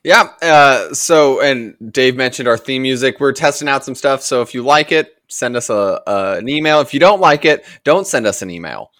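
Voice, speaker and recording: A man's voice: mid-range voice, animated, moderate pace, hardly any pauses, fairly bright tone, no room sound, studio quality. A man hosting a podcast with casual, jokey, chatty banter. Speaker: podcast host.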